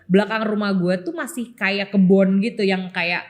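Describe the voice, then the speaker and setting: Casting: young woman, Jakarta accent, mid-range voice, animated slangy podcast chatter with bits of English. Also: medium pitch, normal speed. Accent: Jakarta Indonesian. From Jakarta area, Indonesia. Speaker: podcast host. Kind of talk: casual nostalgic chat.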